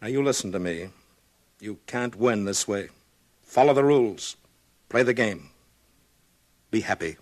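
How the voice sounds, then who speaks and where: An actor playing an earnest, intense writer confiding in and advising a close friend in a 1950s radio drama. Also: male voice, on the low side, animated, unhurried, pauses often, no room sound. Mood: firm, advising.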